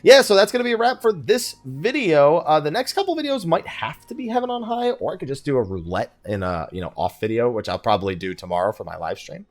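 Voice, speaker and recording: Lively man giving casual gaming commentary. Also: fairly high pitch, fast, hardly any pauses. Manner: talks to himself while playing. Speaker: video game streamer. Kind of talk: casual let's play commentary. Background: game music.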